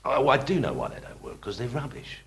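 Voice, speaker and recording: A man's voice: mid-range voice, huge pitch swings, brisk. A man giving blunt, comic interview talk. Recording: noisy old tape.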